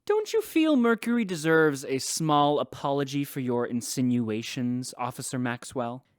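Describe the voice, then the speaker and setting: Male actor as courteous ship's priest: mid-pitched, lilting, slightly flustered, very dry close sound. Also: very animated, moderate pace, clear, resonant tone, dry recording, close to an everyday voice. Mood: polite, innocent. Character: polite, bumbling priest asking after lost property. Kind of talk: sci-fi radio drama acting.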